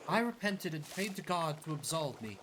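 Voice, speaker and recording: A man voicing a gentle, devout former nun who speaks in a measured, solemn and formal way, in a game playthrough. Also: on the high side, very animated, noisy background, pitched above the performer's own voice. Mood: solemn, penitent.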